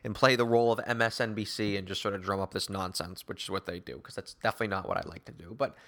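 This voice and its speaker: Bright, forceful male sports host. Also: low voice, fairly even intonation, fast. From Newton, Massachusetts, United States. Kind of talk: opinion monologue on sports talk show.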